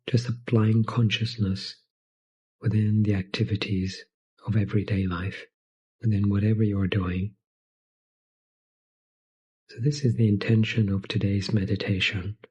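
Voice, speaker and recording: A man leading a guided meditation in a soft, soothing, breathy voice, speaking gently in short phrases with long pauses between them. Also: fairly low pitch, poor audio.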